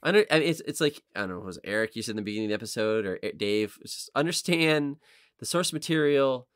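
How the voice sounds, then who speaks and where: A man's voice: mid-range voice, expressive, quick pace, fairly bright tone, no echo, clean studio sound. A man co-hosting a podcast, chatting casually in slangy, informal language. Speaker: podcast co-host. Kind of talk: casual chat.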